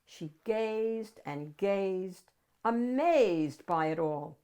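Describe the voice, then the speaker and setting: A woman's voice: medium pitch, lively intonation, very slow, warm tone, clean tone. A woman reading a rhyming children's picture book aloud expressively, stretching key words for emphasis.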